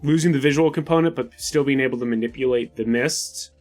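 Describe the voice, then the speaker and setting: A man's voice: medium pitch, brisk, darkish tone. A man playing a tabletop game, explaining ideas for the story casually as he thinks them through. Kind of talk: casual collaborative worldbuilding talk. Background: music.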